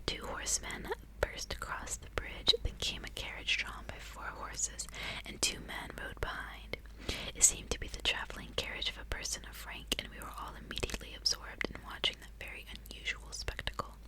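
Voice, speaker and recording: A woman reading aloud in a soft, breathy, hushed whisper, in an intimate ASMR style. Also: moderate pace, rough recording. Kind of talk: whispered ASMR audiobook reading with casual asides.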